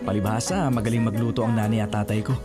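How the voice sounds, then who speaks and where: An actor playing a kind, helpful young man in a Filipino radio drama, speaking in a gentle, earnest and friendly way. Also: lowish voice, fairly even intonation, quick pace, natural voice. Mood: friendly, warm. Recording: music bed behind the voice.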